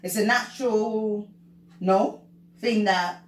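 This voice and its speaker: A woman's voice: medium pitch, slow. Young woman host chatting with her dad, lots of questions and laughs, echoey, kinda far from the mic. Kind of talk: casual chat. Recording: roomy, from far off.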